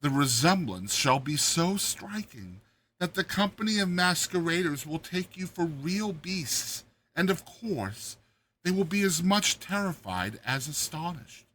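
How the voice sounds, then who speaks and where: A man voicing a crippled dwarf court jester, a cunning schemer who speaks in a measured, coaxing way in a dramatized story reading. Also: fairly high pitch, animated, bright, forceful tone, slight background noise, pitched above the performer's own voice. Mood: persuasive, scheming.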